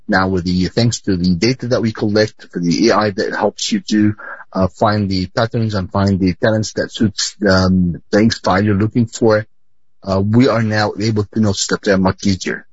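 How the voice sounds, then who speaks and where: Halting, husky low-voiced man in an interview. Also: fairly even intonation, no echo. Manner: halting, repeats and rephrases words. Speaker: sports company board chairman, interviewee. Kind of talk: live broadcast interview. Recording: dull lo-fi audio.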